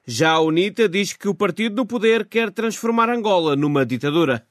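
A man's voice: high-pitched, expressive, fairly quick, dry recording, studio quality. A man presenting a scripted morning news programme on the radio. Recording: music bed under voice. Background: music.

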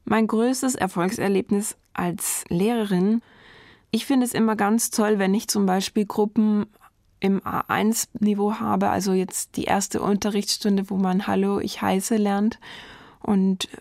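A woman in her thirties from Germany, a German teacher answering portrait interview questions in a thoughtful, measured way, enthusiastic about teaching. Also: mid-range voice, steady tone, a little mellow, no room sound, studio quality.

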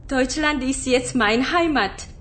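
A woman playing a gentle young female student from Hong Kong, speaking carefully and deliberately in a scripted language-course radio drama. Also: high voice. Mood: earnest.

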